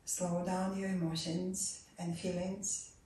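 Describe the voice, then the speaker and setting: A woman leading a guided relaxation and meditation, giving soft, calm instructions that sometimes drop to a near whisper. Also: on the low side, slow pace. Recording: roomy echo, voice far from mic.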